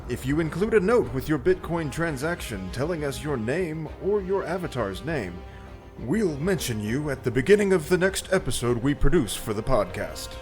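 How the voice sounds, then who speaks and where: A man's voice: medium pitch, darkish tone. A man acting in an audio drama as a roguish starship captain, with a wry, sardonic delivery. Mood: grand, hearty. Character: cocky roguish male starship smuggler captain. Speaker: narrator and lead actor.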